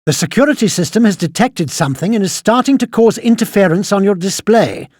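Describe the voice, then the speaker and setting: An older British quartermaster in a video game, high in pitch and swooping in intonation. He is pompous and exasperated, nagging in clipped, sardonic phrases. The sound is close and dry. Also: expressive, a little fast, darkish tone, no echo. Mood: haughty briefing. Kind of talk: scripted video game mission briefing.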